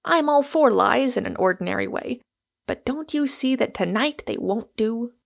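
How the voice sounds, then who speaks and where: Woman voicing an ardent, emphatic Irish gentleman suitor. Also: on the high side, expressive, normal speed, creaky, pitched above the performer's own voice, full character voice. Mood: earnest, insistent. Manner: declamatory and emphatic.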